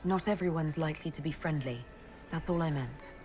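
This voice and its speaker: Woman acting guarded noble heroine, slightly low pitch, measured, dry, game dialogue, faint music. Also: darkish tone, natural voice. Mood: concerned, curious. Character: composed, guarded young noblewoman leading an order.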